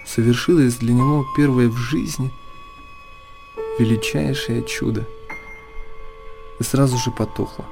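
A man giving a dramatic literary narration for radio, solemn and deliberate, breaking the text into short phrases. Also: medium pitch, steady tone, creaky, music in the background. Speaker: narrator reading literary prose.

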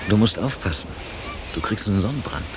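A man's voice: on the low side, expressive, a little fast. An actor playing Frank, an insistent, pragmatic German man abroad, plain-spoken and impatient in a naturalistic radio drama. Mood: casual. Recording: hissy, noisy cassette copy of radio play.

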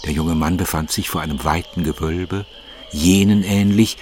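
A man reading scripted literary narration in a measured, formal manner. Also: sits low, steady tone, background noise.

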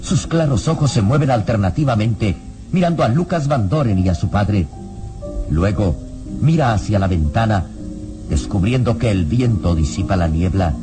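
Casting: male radio-drama narrator, very low pitch, grave and suspenseful, loud music underneath. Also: lively intonation, normal speed. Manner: solemn, suspenseful storytelling.

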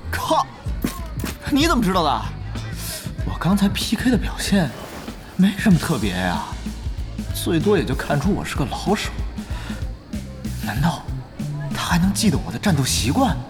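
An actor playing a young male gamer and undercover guild spy in an audio drama, animated and exclamatory, stammering when flustered. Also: on the high side, moderate pace, long pauses, over music. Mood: startled, exasperated. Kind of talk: Chinese audio drama acting with inner monologue.

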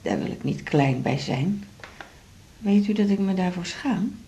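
A woman's voice: on the low side, animated, unhurried, mellow, a little hiss or hum. An actress playing an anxious but proud older woman with a heart condition in a radio drama, frank and motherly, with a Netherlands Dutch accent. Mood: wry, resigned.

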